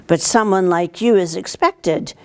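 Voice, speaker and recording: A woman's voice: medium pitch, measured pace, clear, resonant tone, natural voice. A woman voicing a polite, anxious male faun in a storybook, with a formal, questioning manner. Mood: curious, courteous.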